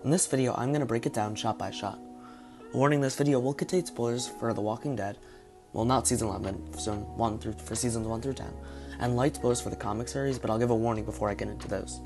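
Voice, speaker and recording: Hoarse male reviewer, quick delivery, thinking aloud through his guesses, faint music behind. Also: medium pitch, fairly even intonation. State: hoarse, partly lost voice. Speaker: video reviewer. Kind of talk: casual video commentary.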